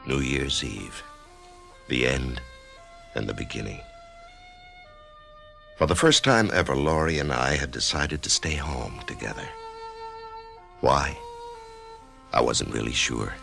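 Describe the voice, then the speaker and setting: A man's voice: a bass voice, animated, takes it slowly, pauses often, full character voice. An actor playing an uneasy middle-aged husband who tells his own story in a radio mystery drama. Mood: brooding. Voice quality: gravelly. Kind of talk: dramatic radio mystery acting and first-person narration. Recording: loud music under voice.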